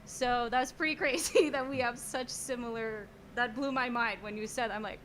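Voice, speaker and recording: Woman, young, higher pitch, chatty, faint background noise, video call. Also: fairly even intonation, normal speed, a bit bright. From French Canada, Montreal. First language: French. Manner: chatty, giggly, trailing restarts. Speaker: guest songwriter. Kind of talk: casual chat.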